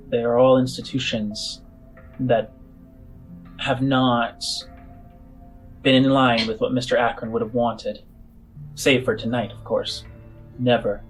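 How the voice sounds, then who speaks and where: A shrewd, skeptical woman in charge , played by a man in a tabletop game. The delivery is slow and deliberate, with halting, matter-of-fact explanations over background music. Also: medium pitch, lightly acted, low-quality recording.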